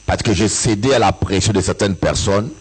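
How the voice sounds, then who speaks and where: A bishop from Africa giving an impassioned church sermon, his voice rising in sing-song peaks and breaking into shouts. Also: lowish voice, moderate pace, husky, poor audio. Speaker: bishop and pastor. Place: church service with an audience.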